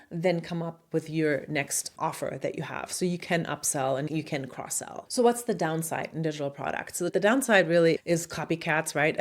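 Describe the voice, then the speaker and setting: A middle-aged woman from Germany with a German accent, giving direct, sometimes silly business advice to camera as a marketing coach on her channel. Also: deep voice.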